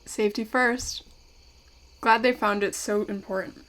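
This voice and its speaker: Woman playing curious, wry interviewer, medium pitch. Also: fairly even intonation, unhurried, fairly bright tone, lightly acted. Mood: polite, curious. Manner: polite, inquisitive, wry. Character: curious, polite, wry young female interviewer and narrator. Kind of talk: audio drama acting.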